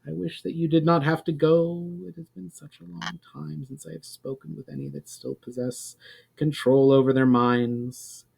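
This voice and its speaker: A solemn water spirit from a storybook, read aloud by a man in his everyday voice. The speech is formal and measured, with old-fashioned phrasing and a lonely, grave temper. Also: a little high, little pitch movement, gravelly, a little mellow, husky, voice pushed up, exaggerated voice, low-quality recording. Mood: wistful. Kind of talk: amateur dramatic storybook reading.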